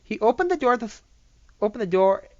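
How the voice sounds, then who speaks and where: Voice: medium pitch, big pitch swings, brisk, a little mellow, dry recording. An amateur narrator reading fan fiction aloud, often stumbling and repeating phrases. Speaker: story narrator. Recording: dull, dry home recording.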